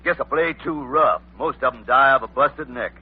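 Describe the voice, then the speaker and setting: An actor playing a brash wild cowboy raised by coyotes, with a folksy, blustering American Western drawl in a radio western. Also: male voice, medium pitch, moderate pace, slightly rough, lightly acted. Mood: defiant, boastful. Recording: muffled vintage radio recording.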